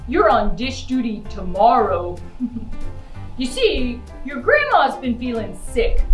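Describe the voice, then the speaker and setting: A woman voicing a caring, fussy father in a children's puppet show, in a gentle, folksy, deliberate manner. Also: sits high, roomy sound.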